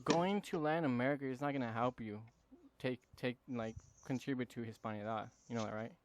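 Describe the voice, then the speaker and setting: Man, mid pitch, insistent arguer who repeats himself, online debate. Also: a little fast. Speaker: debate participant. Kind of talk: argumentative voice chat.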